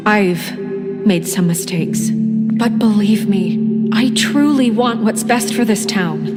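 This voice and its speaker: An actress playing an earnest, composed town leader who speaks in a measured, persuasive way, in a game. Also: female voice, fairly high pitch. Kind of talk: video game voice acting. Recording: game audio over very loud score.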